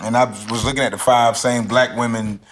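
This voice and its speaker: A man in his late twenties chatting casually on a podcast, lively and self-mocking, laughing as he talks. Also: medium pitch, brisk, poor audio. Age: 20s. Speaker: podcast guest. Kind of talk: casual chat.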